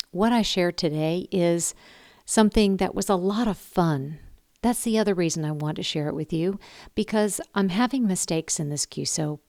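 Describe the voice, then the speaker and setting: A woman with a fairly low voice speaks casually to the camera as a hobby video host. She is earnest and encouraging, stressing her points by repeating them. The sound is dry and close, with little room echo. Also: darkish tone. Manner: earnest, encouraging, emphatic repetition. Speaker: ham radio video host. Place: indoor room downstairs at home.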